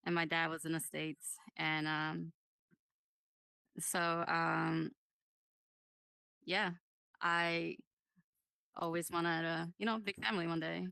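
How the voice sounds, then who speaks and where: Slow, gravelly-edged woman from Japan. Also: on the low side, flat delivery, pushed and bright, no room sound, rough recording. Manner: slow, thoughtful, hesitant. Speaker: guest. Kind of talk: casual group chat.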